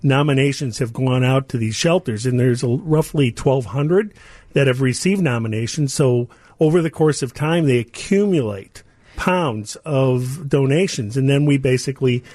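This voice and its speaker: A man's voice: medium pitch, moderate pace, a little mellow, dry recording. Mid-voiced man in a dry studio. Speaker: company representative guest. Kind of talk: conversational promotional interview.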